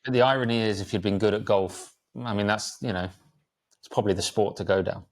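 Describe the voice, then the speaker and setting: A man's voice: on the low side, a little fast. A man hosting a casual interview, asking questions loosely, restarting words and dropping in short agreeing sounds. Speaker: host.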